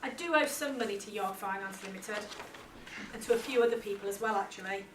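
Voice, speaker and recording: A woman's voice: medium pitch, a little fast, natural voice. A woman playing a debtor who tells a court about money troubles, in a training film. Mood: sheepish, troubled. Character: Joe, young debtor telling a money-troubles story in court. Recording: echoey, distant, noisy room.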